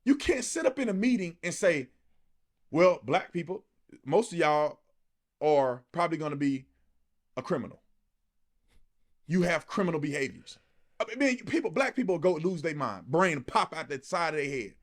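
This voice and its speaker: An American man speaks in a high voice that swings widely in pitch. He delivers opinionated video commentary emphatically, in colloquial phrasing with rhetorical challenges. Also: big pitch swings, moderate pace, long pauses. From United States. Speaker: online political commentator. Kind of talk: impassioned opinionated video monologue.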